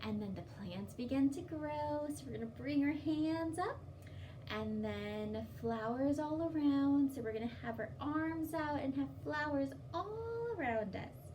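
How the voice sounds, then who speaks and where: Woman, storytime host, unhurried, mellow tone, simple instructive read-aloud for children, distant mic with faint noise. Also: high voice.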